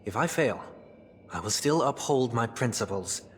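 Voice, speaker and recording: An actor playing a refined, introspective man of rigid discipline in a video game, speaking in a measured, formal, earnest way. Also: mid-range voice, animated, close to an everyday voice. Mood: resolute, solemn. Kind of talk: scripted dramatic game dialogue. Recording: background music under voice.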